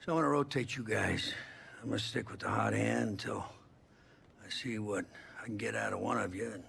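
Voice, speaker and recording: A man's voice: on the low side, fairly quick, gravelly, a bit bright, slight background noise. A man playing a stern, blunt college football head coach in a video game cutscene. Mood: stern, firm. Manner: firm, blunt.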